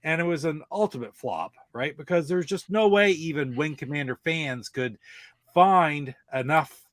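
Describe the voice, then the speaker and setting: A man's voice: a little high, normal speed, a bit bright, no room sound. A middle-aged man in a casual podcast panel chat about games and movies, talking in a rambling way.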